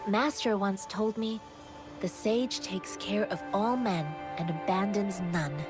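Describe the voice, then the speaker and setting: Woman acting a earnest young martial disciple girl in a game, calm n formal, music behind. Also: mid-range voice, slow, natural voice. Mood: earnest, composed. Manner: calm, formal, proverb-quoting.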